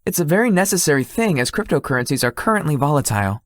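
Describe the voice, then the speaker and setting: Woman voicing a low, lively, polite young executive guy. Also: fast, no room sound, clean studio sound. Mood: earnest, mild. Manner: polite, slightly formal, hesitant. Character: shy young male tech executive, sleepy, polite homebody. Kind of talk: visual novel character dialogue.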